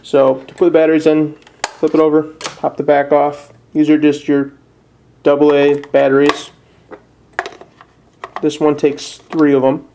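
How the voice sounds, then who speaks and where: A man's voice: fairly high pitch, monotone, a little slow, long pauses, a little mellow, a little hiss or hum. A young man with a Midwestern American accent giving a casual, somewhat hesitant step-by-step tutorial in plain words. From northern Illinois, USA. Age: young adult. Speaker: amateur how-to presenter. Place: indoors at a desk.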